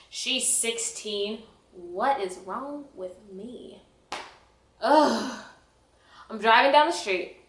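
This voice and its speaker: A woman's voice: on the high side, a bit bright. Echoey young woman telling a dramatic story. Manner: self-mocking, dramatic, emphatic. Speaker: vlogger telling a personal story. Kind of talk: animated casual storytelling to camera. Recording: echoey, roomy sound.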